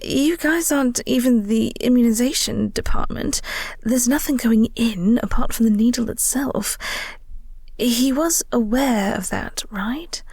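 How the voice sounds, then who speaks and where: A woman playing a flirty, teasing vampire office co-worker in an intimate audio roleplay, speaking softly and breathily with a coy, unhurried manner. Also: fairly high pitch, dry recording, clean studio sound. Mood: amused disbelief. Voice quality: soft, breathy, whispery. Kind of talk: intimate audio roleplay, whispered ASMR-like acting.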